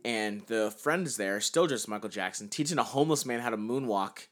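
A man's voice: on the low side, animated, brisk. A man, co-hosting a podcast in casual, joking chat that wanders and swears a lot. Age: 20s. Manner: joking, profane, rambling. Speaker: podcast co-host.

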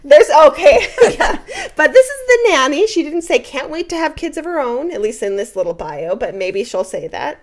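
Woman podcast co-host, wry and chatty, lively pitch. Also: normal speed, few pauses. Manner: chatty, wry, laughs often. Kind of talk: casual chat reading cast bios.

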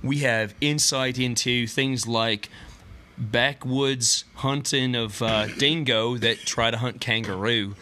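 A man's voice: medium pitch, fairly even intonation, takes it slowly, pushed and bright, slight background noise. A man podcast co-host with a put-on Australian accent and a cough, rambling and joking with restarts in comedic banter. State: has a cough.

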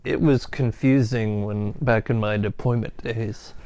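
A man's voice: on the low side, fairly even intonation, unhurried, warm tone, slight background noise. A middle-aged man co-hosting a casual podcast chat, easygoing and agreeable, telling stories in a loose rambling way. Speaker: podcast co-host.

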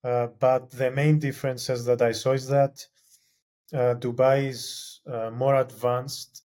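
A man's voice: medium pitch, level tone, slow pace, darkish tone. A young man whose first language is Greek, answering interview questions in a hesitant way with many fillers and restarts. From Cyprus. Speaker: guest, film graduate.

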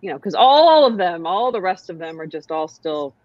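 Woman, American, lowish voice, hesitant and reflective, dry close sound, slightly muffled. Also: big pitch swings, normal speed, a little mellow, dry recording. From United States. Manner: reflective, hesitant with many fillers. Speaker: guest, writer. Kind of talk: casual conversation.

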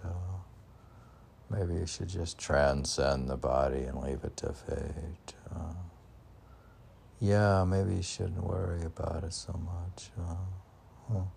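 A man's voice: sits low, creaky, warm tone, breathy edge. A man giving an informal reading of his own poetry with rambling asides, musing and questioning himself aloud as he repeats lines. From United States. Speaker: poet reading and commenting on own poems. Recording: faint background noise.

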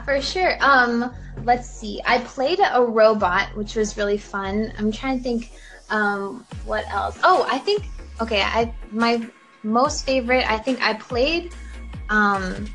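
A woman's voice: a little high, slow pace, fairly bright tone, faint music behind, low-quality recording. A young woman voice actor chatting casually in an interview, giggling often and filling her talk with likes and ums. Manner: chatty, giggly, many fillers. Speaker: voice actor guest.

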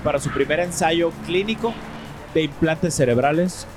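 A young man with a Mexican accent co-hosting a casual podcast, talking in relaxed slang and pushing back when he is teased. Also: a little high, moderate pace, music in the background. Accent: Mexican Spanish. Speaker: podcast co-host. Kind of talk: casual chat.

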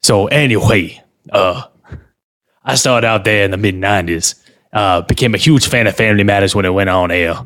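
A man's voice: on the low side, a bit bright, close to an everyday voice. A man playing a hesitant, stammering street performer who promotes his one-man show in an improvised comedy interview. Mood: bumbling. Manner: halting, stammering, full of filler sounds.

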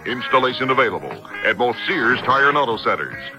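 A male announcer delivers a scripted radio commercial. His mid-pitched voice sells in a read-out style, with music clearly behind it. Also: sing-song, fairly quick, muffled recording.

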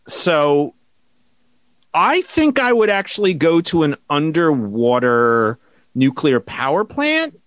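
A man's voice: on the high side, big pitch swings, fairly bright tone, no room sound. A man co-hosting a podcast, rambling and joking in casual chat, stretching words out for comic emphasis. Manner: playful, rambling, drawn-out jokey emphasis. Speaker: podcast co-host.

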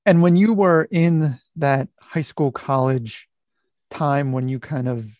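A man hosting a podcast, asking conversational questions in halting, searching phrases. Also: fairly high pitch, a little mellow, dry recording, lo-fi sound. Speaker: podcast host.

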